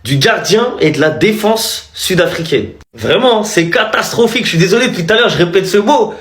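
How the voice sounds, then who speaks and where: Very high-voiced blunt man, echoey. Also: lively intonation, fairly quick, a bit bright. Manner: blunt, exclamatory, emphatic. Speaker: football commentator. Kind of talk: casual reaction commentary on a match.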